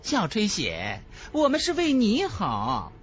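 A man's voice: high voice, huge pitch swings, slow, fairly bright tone, highs cut off, voice pushed up, exaggerated voice. A man voicing a seductive, mocking woman cultivator, coy and condescending, in a fantasy audiobook.

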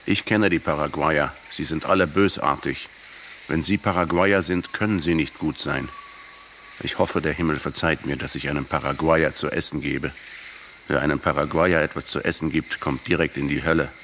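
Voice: rumbling low, steady tone, normal speed, fairly bright tone, slight background noise. A performer in a radio play playing an old Bolivian peasant woman, pious, hostile and stubbornly accusing. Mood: bitter, pious.

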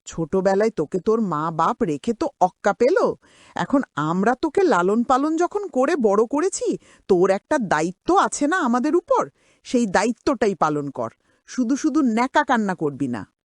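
A woman's voice: on the high side, big pitch swings, moderate pace, no echo, clean studio sound. A woman voicing a harsh, demanding middle-aged aunt in a cartoon story, bossy and nagging. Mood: scolding.

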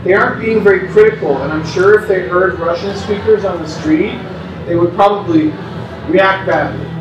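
A man's voice: sits high, muffled recording, noisy background. Man reading at a distance, roomy. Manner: measured, unhurried reading with halting restarts. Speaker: performer reading interview notes. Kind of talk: reading recorded interview answers aloud. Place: small indoor gallery room with hanging paper strips. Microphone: far camera mic. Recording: roomy distant sound with faint ambience.

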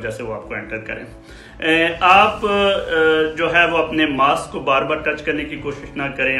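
A man in his forties speaks straight to camera in a small home room, his voice bright and forward. He gives steady, measured advice, stopping often to fill pauses. The room rings noticeably around him, with faint music underneath. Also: medium pitch, reverberant. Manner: measured, with frequent pauses and fillers. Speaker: commentator.

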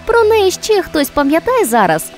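A woman co-hosting a video game news show, reading scripted items with crisp delivery and wry teasing. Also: very high-pitched, very animated, normal speed. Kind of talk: scripted news narration with banter. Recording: music bed behind the voice.

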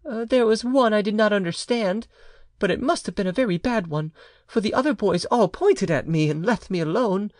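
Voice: mid-range voice, moderate pace, a little mellow, no echo, clean studio sound, pitched above the performer's own voice, lightly acted. An audiobook narrator voicing a plaintive boy of about nine in a storybook tale. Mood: hurt, puzzled.

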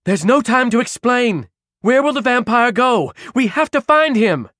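A man voicing a determined young warrior woman in an audiobook, urgent and forceful. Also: extremely high pitch, lively intonation, a little slow, no room sound, studio quality, voice pushed up, full character voice.

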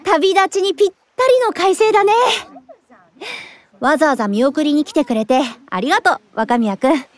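An actress playing a friendly young woman teacher in an anime audio drama, with an expressive, airy way of talking. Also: high-pitched, moderate pace. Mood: cheerful.

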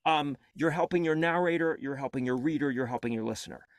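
A man's voice: fairly high pitch, fast, no room sound. A man who narrates audiobooks, talking expressively on a video call from a home studio with acoustic foam, with a headset. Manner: expressive, gestures a lot. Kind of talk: animated panel talk. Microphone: earbud headset on video call.

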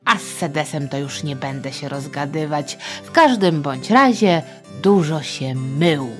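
A woman's voice: deep voice, lively intonation, unhurried, a bit bright, faint music behind. A middle-aged woman telling history to children in a chatty, playful, theatrical way, with asides. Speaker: storyteller, podcast host.

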